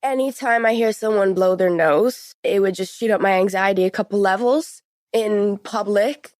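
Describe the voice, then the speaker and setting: A woman's voice: medium pitch, moderate pace, darkish tone, no echo, clean studio sound. A woman in her late teens giving a conversational personal account as a podcast guest.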